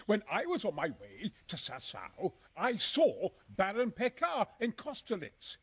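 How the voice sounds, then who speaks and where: Fretful medieval engineer, middle-aged, highish husky voice, very animated, formal wording, game drama. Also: male voice, a little slow, heavily acted. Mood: frightened. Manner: anxious, earnest, formal old-fashioned phrasing. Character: nervous, fearful learned military engineer, veteran crusader. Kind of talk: dramatic video game acting.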